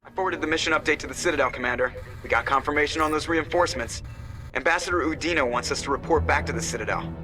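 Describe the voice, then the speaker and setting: An actor playing a brisk, businesslike starship pilot giving reports in a video game, distant, with music behind him. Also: male voice, a little high, bright, forceful tone. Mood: brisk, alert.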